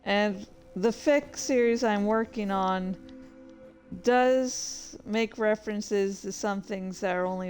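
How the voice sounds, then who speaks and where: A woman hosting a livestream with casual, halting, easily sidetracked chat. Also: mid-range voice, a little mellow. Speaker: livestream host and fan fiction reader. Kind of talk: casual rambling stream chat.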